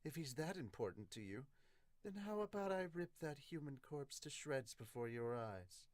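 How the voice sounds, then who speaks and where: A man's voice: mid-range voice, lively intonation, moderate pace, no room sound, pitched above the performer's own voice, natural voice. A man voicing a cold, vengeful male elf with solemn menace in a manga dub. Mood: contemptuous.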